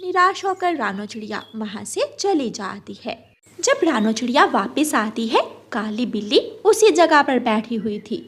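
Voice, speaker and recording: A woman's voice: fairly high pitch, very animated, measured pace, fairly bright tone, slightly rough. A woman reading scripted narration for a children's story as its narrator.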